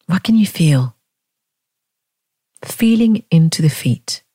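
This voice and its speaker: A woman's warm, mellow voice guides a meditation at an unhurried crawl, and she leaves long pauses between gentle, inviting questions. The recording is close and dry, with no room sound. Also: on the low side, lively intonation, husky, dry recording. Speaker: meditation teacher.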